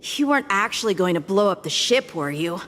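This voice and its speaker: A woman's voice: mid-range voice, big pitch swings, a little fast, fairly bright tone, in a large hall, a little hiss or hum. A woman voicing an earnest, boyish young swordsman in an anime-style game. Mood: alarmed, indignant. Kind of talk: English anime game voice acting.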